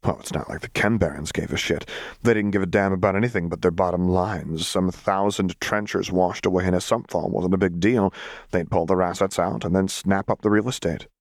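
Male audiobook narrator, lowish pitch, expressive story reading, scripted fiction, very dry close sound. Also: a little fast, no echo.

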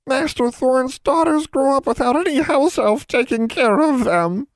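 A servile old female house elf, as a male narrator puts her on, sobs and wails in a squeaky, far-off-sounding voice, dragging her words slowly. She keeps calling herself by her own name. The sound is close and dry. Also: dry recording. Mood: wailing. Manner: sobbing, speaks of herself in third person.

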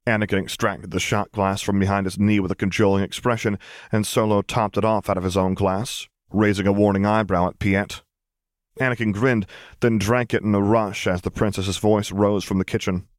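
A man's voice: lowish voice, level tone, normal speed, dry recording, clean studio sound. A man reading a story aloud as scripted narration. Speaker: audiobook reader.

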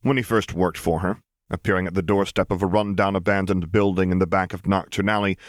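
Low-voiced man narrating fiction, dry close sound. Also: level tone, brisk, no echo. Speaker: audiobook reader, narrator.

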